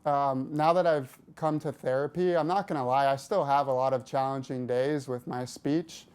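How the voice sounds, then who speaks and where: Candid man with a smooth voice. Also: medium pitch, steady tone, moderate pace, darkish tone. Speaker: therapy client.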